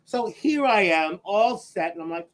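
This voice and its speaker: Raspy-voiced campy man, lively swooping pitch. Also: a little slow. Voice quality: raspy "whiskey" voice. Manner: theatrical, teasing, sweeping pitch. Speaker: drag queen podcast co-host. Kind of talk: campy casual chat.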